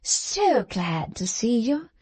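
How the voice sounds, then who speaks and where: Female reader playing a scheming wife who gushes; slow, mellow, pleading lines. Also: fairly high pitch, big pitch swings, husky, dry recording, heavily acted. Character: gushing, scheming society wife, adult woman. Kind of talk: audiobook character voice.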